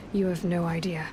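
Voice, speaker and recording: An actress playing a confident, capable young huntress in a video game, with a dry, casual, self-assured manner. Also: female voice, fairly low pitch, a little mellow, slight background noise. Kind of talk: naturalistic video game acting. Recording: music under the dialogue. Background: cinematic game music.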